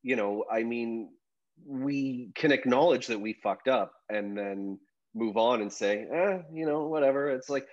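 A man's voice: mid-range voice, unhurried, darkish tone, dull audio. A man, a journalist, talking casually about his story in a rambling, self-deprecating way with lots of fillers. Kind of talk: casual podcast conversation.

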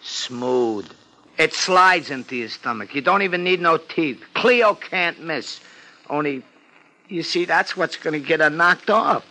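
An anxious, slangy city florist from a 1950s radio crime drama. His voice is high and very sharp, and it swings up and down as he frets like a streetwise little guy. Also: animated, a little slow, heavily acted, rough recording. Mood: worried, proud. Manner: slangy, ungrammatical tough-guy talk.

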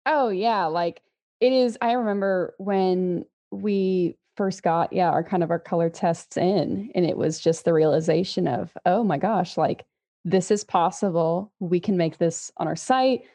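Laughing young Texan woman, mellow voice. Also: on the low side, measured pace. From Texas. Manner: lively, laughs often. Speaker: guest. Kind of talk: casual interview chat.

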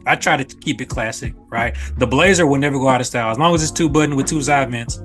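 A man's voice: medium pitch, fast talker, a bit bright, soft background music. A man in casual conversation who repeats his words and keeps checking that listeners agree. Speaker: podcast guest. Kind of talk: casual chat.

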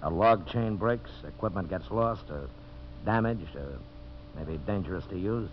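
An actor playing a smooth, calculating businessman villain in a 1950s radio drama, with an insinuating manner. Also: low voice, animated, a little slow. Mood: sly, conspiratorial. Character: scheming, smooth businessman villain plotting sabotage. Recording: muffled, hissy old radio recording with hum.

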